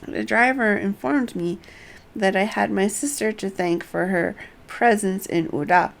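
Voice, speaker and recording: A woman voicing an earnest, polite young nobleman from a fantasy game in formal, measured phrases. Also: mid-range voice, creaky. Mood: courteous, curious.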